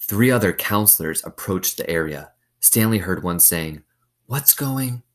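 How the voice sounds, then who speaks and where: A man's voice: deep voice, moderate pace. A man reading a novel aloud with suspense, explaining it in casual asides.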